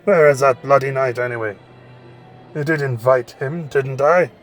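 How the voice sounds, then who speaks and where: A man playing an imperious, irritable middle-aged king in an audio drama, loud and commanding over music. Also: mid-range voice, moderate pace, natural voice. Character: blustering, imperious king and father.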